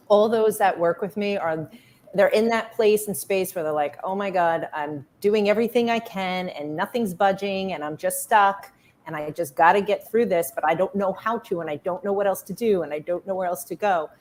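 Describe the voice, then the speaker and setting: A woman's voice: lowish voice, normal speed, darkish tone, a little hiss or hum. A woman guest on a podcast, a spiritual healer speaking earnestly about her work. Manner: earnest, emphatic repetition. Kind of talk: casual interview.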